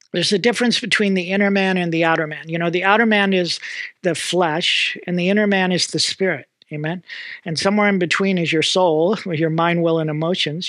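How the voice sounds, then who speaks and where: High-voiced American preacher saying "amen?". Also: male voice, fairly bright tone, no echo. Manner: punctuates phrases with "amen?" and "okay?". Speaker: pastor. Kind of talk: sermon to Bible school students. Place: small indoor room with mantel decor.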